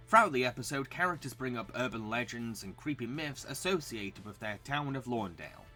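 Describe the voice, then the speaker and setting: Bright-voiced man narrating over music. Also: medium pitch. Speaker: video host narrator. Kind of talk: scripted video essay narration.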